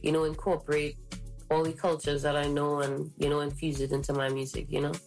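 A young woman from the islands chats about her music in a low, easy voice, quick with fillers and sure of herself. Faint music sits in the background. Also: completely flat delivery, darkish tone. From Trinidad and the Caribbean islands. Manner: bubbly, heavy use of "like", confident. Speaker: singer guest. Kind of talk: casual interview chat.

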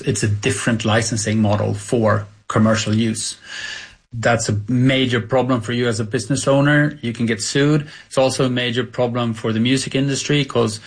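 A man's voice: mid-range voice, breathy edge. A middle-aged man whose first language is Swedish, a company founder answering radio interview questions candidly and searching for words at times.

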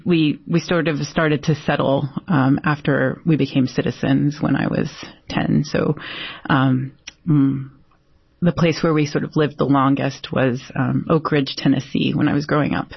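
A Brazilian-born woman poet answering interview questions thoughtfully, with many pauses and fillers. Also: low-pitched, steady tone, creaky, husky, no echo. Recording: dull, dry lo-fi sound.

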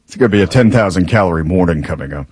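A man who reports traffic on a morning radio show, joking along in a modest, deadpan way. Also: low voice, very fast, crowd noise. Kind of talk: casual morning radio banter.

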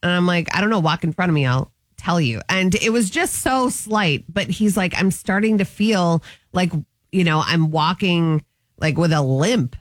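A woman's voice: lowish voice, moderate pace, dry recording. A young woman co-hosting a morning radio show, chatting casually and telling stories with a laugh. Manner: animated, laughing storyteller. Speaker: radio co-host.

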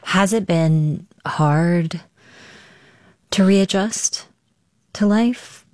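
A woman conducting a broadcast interview, asking measured, probing questions. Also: on the low side, darkish tone, no room sound, studio quality.

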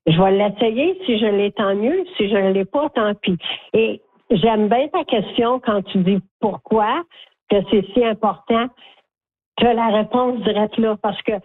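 A woman's voice: medium pitch, a little slow. A middle-aged Franco-Ontarian woman from Northern Ontario, guest in a radio interview, chatty and emphatic, often checking in with "OK?". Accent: Franco-Ontarian French. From Northern Ontario, Canada. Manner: chatty, emphatic, peppers speech with "OK?". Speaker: guest, caregiver group facilitator. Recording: tinny phone line.

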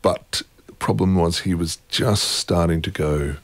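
Brief: middle-aged male guest, deep tone, easygoing and self-mocking, dry studio sound. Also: a little slow, dry recording. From born in England, raised in Germany and Australia. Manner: wry, self-deprecating, chatty with laughs. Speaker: guest, opera music head. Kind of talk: relaxed conversational interview.

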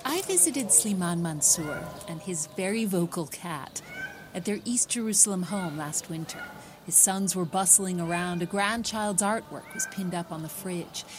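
A woman's voice: fairly low pitch, expressive, normal speed, background noise. A woman with an American accent reads scripted narration as a radio news reporter.

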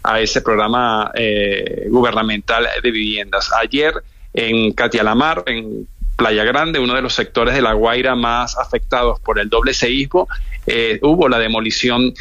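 A man with a Venezuelan accent giving an unscripted live news report, halting and repeating words as he searches for phrasing. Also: mid-range voice, fairly even intonation, pushed and bright, no echo. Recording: dull, narrow-band remote audio link.

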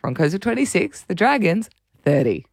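A young Australian woman co-hosting breakfast radio, her voice hoarse and raspy, chatting in a rambling way with frequent laughs. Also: medium pitch, very animated, moderate pace, a little mellow, no room sound, clean studio sound. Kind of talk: casual breakfast radio banter. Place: radio studio.

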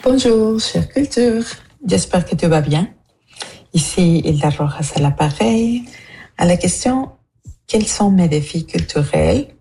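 Low, slow, gravelly woman from Mexico. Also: animated, dark tone, low-quality recording. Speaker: radio listener leaving a voicemail. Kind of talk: earnest prepared personal message.

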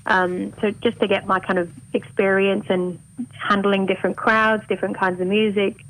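A woman with a mild north Welsh accent softened toward English, a singer talking openly and chattily as a radio interview guest. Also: medium pitch, flat delivery, normal speed, low-quality recording. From Wales. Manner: chatty and open, laughs easily.

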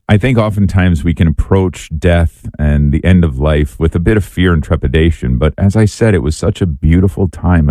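A man hosting a faith talk show, telling a personal story calmly and earnestly, his voice hoarse and raspy after surgery. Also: extremely low pitch, no echo. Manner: calm, earnest, reflective. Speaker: host.

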